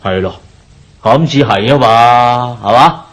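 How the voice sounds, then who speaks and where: An older creditor with a Hong Kong Cantonese accent and a middle-pitched voice. He nags and lectures like a man owed money, sighing and pressing each point in radio drama fashion. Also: slow, a bit bright. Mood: nagging, warning. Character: older male creditor, nagging and pushy.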